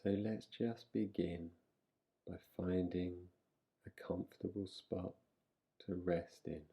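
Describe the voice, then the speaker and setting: A man guiding a relaxation meditation in a soothing, unhurried manner, leaving long pauses between short phrases. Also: low-pitched, steady tone, mellow, breathy edge. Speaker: meditation guide. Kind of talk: guided relaxation meditation, yoga nidra.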